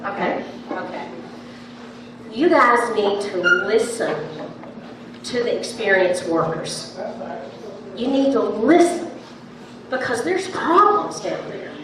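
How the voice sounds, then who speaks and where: A woman's voice: medium pitch, animated, takes it slowly, pauses often. A woman with a big voice speaking at a town hall meeting, insistent and impassioned, repeating herself to press her point. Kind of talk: public comment at a meeting. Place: large echoey meeting room. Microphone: handheld microphone from a distance. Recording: echoey room, distant mic, background noise.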